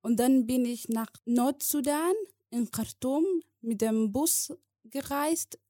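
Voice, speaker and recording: Slow-speaking woman in her twenties from Somalia. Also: on the high side, mellow, no echo, studio quality. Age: 20s. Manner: slow, careful, plain sentences with hesitations. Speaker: guest. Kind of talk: personal testimony in a podcast interview.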